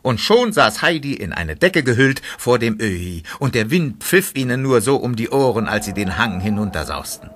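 A man's voice: medium pitch, very animated, moderate pace, few pauses, a bit bright. A man narrating a children's radio play in a scripted storybook style.

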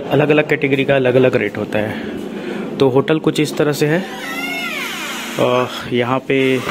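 Easygoing man vlogging on a phone. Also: medium pitch. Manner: easygoing, with frequent hesitation sounds. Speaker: travel vlogger. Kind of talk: casual travel vlog narration. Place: hotel room and roadside at night. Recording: phone recording with background noise.

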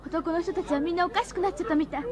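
A woman's voice: very high voice, very fast, crowd noise. An actress playing an earnest teenage schoolgirl in a radio drama. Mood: anxious.

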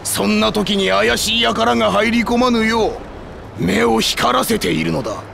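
Gravelly stern guard. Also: male voice, high-pitched, moderate pace, pushed and bright, slight background noise, full character voice. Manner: commanding, suspicious. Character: stern gruff soldier guarding a port.

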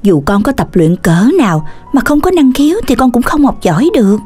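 Cartoon mum dog: high, expressive, tender and patient, light music underneath. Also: female voice, brisk, slightly rough. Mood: gentle, consoling. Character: gentle, patient mother dog consoling her child. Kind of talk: children's cartoon dubbing in Vietnamese.

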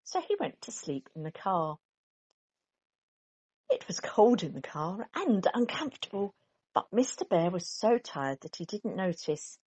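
Slow, expressive woman storyteller. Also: mid-range voice, slightly rough. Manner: deliberate, animated, with character sounds. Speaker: storyteller reading a children's picture book. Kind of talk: expressive children's story narration.

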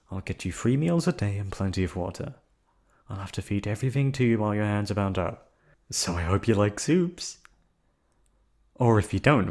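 A coldly controlling yandere captor, voiced by a man for an ASMR roleplay. He speaks in a low, put-on accent with lively swings of pitch. He stays calm and threatening throughout. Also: creaky. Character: menacing yandere male receptionist captor. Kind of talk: ASMR roleplay audio drama.

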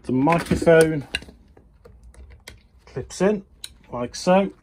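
A man's voice: fairly high pitch, extremely slow. A middle-aged British man talking casually and unscripted, with some hesitation, as he demonstrates something hands-on in a small workshop. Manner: unscripted, hesitant, thinking aloud. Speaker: hobbyist presenter. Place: small wooden workshop, indoors. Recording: noisy room ambience, handheld camera. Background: clear background noise.

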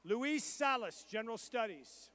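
A man reading out graduates' names and their programs at a commencement ceremony, in a measured and formal roll-call delivery. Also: extremely high pitch, lively intonation, pushed and bright. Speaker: commencement name reader.

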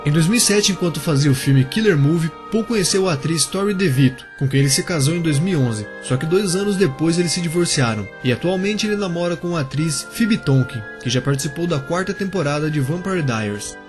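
A man's voice: on the high side, expressive, a little fast, hardly any pauses, over music. A man narrating a biography video for a YouTube channel, speaking casually and directly to his viewers.

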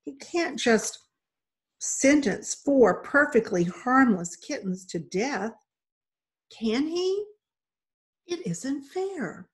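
Woman voicing an earnest, pleading young girl, high-pitched. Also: big pitch swings, very slow, pauses often, heavily acted. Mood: pleading, upset. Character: pleading, tearful young girl defending kittens.

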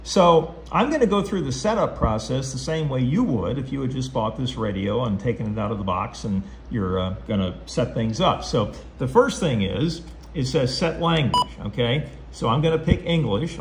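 A man with a medium-pitched voice walks through instructions casually, step by step. He has a folksy manner and talks himself through what he is doing. The home recording has clear background noise. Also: animated, moderate pace, hardly any pauses. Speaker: presenter of how-to video. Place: indoors at home, beside a tabletop radio.

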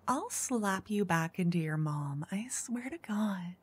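Woman, breathy whisper, teasing and flirty, close binaural mic, unhurried. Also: medium pitch, expressive. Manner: teasing, flirty, switching between whispers and soft speech. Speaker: ASMR roleplay performer as a dungeon master. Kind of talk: soft-spoken ASMR roleplay, whispering into each ear.

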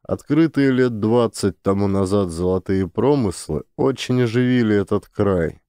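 A man narrating a classic story, measured and expressive, close to the microphone. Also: fairly low pitch, no echo. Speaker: audiobook narrator.

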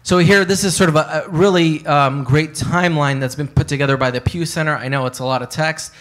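A man's voice: a little high, fairly even intonation, fairly quick, a bit bright. A man in his thirties giving a conversational academic talk on a panel, speaking into a handheld microphone in a hotel conference room, with many fillers and hedges.

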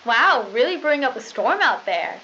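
A young woman playing a gushing, relentlessly upbeat high school news anchor with a chirpy, scripted delivery. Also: sing-song, moderate pace, pushed and bright, faint music behind, exaggerated voice. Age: young adult. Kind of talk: scripted mock 1990s school news broadcast. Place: small studio news desk. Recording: dull, noisy lo-fi 1990s videotape.

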